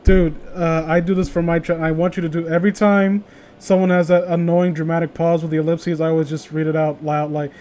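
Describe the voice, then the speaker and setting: Guy with a kinda high voice just rambling in a casual chat, swears a bit. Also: level tone, a little fast, mellow. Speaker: co-host of an online read-along chat.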